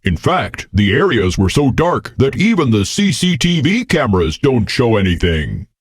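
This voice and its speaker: A man voicing a serious, dutiful bison police captain in a children's cartoon, with a husky voice and an official manner. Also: mid-range voice, big pitch swings, normal speed, dry recording, clean studio sound. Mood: serious, reporting.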